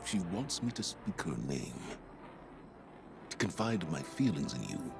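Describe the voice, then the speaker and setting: An actor playing a weary, guilt-ridden veteran military commander in a video game, brooding and deliberate in delivery. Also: male voice, sing-song, over music, full character voice. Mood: hollow, sorrowful. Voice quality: deep, gravelly. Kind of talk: dramatic video game acting.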